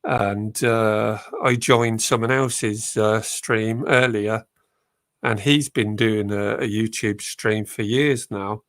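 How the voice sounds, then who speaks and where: A man's voice: fairly low pitch, steady tone, slow, dry recording, studio quality. A man with a cold, chatting hesitantly as a guest on a live stream. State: bunged up with a cold. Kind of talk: casual chat.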